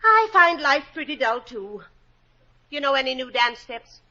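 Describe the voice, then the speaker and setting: A woman's voice: high voice, sing-song, bright, forceful tone, highs cut off, exaggerated voice. A teasing, feisty married lady. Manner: teasing, quick-witted, laughing. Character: wisecracking married woman, bored and feisty. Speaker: radio actress. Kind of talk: 1940s radio comedy acting. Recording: scratchy, noisy 1940s radio broadcast.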